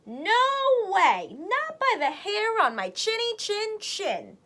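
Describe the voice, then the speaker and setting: A woman's voice: very high voice, huge pitch swings, slow, sharp tone, pitched above the performer's own voice, exaggerated voice. A woman voicing a polite, plucky young male little pig in a storybook, with squealing pleas and cries. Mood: defiant.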